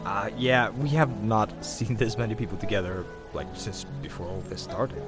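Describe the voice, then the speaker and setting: A man's voice: fairly low pitch, normal speed, natural voice. A man playing a good-natured, wry survivor chatting in an audio drama. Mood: friendly. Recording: music bed behind the voice.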